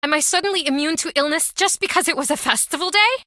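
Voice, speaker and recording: A bitter, guarded woman who runs a small-town pub in a video game. Her voice is fairly high and sharply bright, with curt, brooding, dramatic phrasing. The recording is dry and close. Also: fast talker, no room sound. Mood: terse. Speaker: game character.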